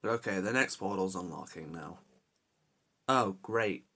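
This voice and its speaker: A man's voice: deep voice, measured pace, sharp tone, full character voice. A man voicing an anxious, protective young adventurer in amateur character lines, talking to himself with dramatic exclamations. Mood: uneasy, determined. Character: anxious, reluctant young adult male adventurer hero, protective.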